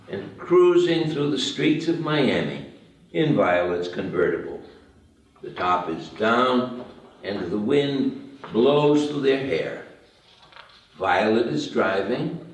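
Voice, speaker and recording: A man's voice: medium pitch, lively intonation, slow. A man reading stage directions as the narrator of a screenplay table read. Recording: very echoey room, distant mic.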